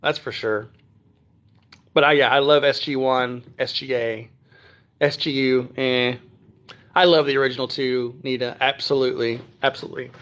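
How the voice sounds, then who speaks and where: A man from Texas who speaks standard American, hosting a casual phone livestream from home and chatting through viewer comments with many ums and throat clears. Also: mid-range voice, a bit bright. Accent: none, trained standard American. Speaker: livestream host. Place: at home near Dallas.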